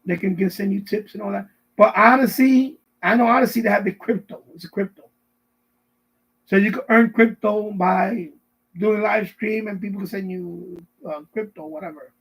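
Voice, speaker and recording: Middle-aged male streamer, high raspy voice, casual rambling chat with viewers, loops back over his words. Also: normal speed, rough recording. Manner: rambling, repetitive, answering viewers' messages. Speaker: live stream host. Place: basement at home.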